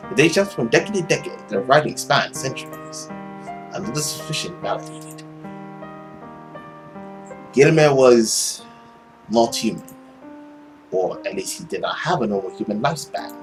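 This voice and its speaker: A young man with a mid-range voice reads a visual novel aloud for a let's play. His delivery is theatrical and suspenseful, moving freely up and down in pitch. Faint music plays behind him. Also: expressive, normal speed, pauses often. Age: young adult. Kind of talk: dramatic read-aloud of a visual novel with casual commentary. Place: indoor room at home. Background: game music.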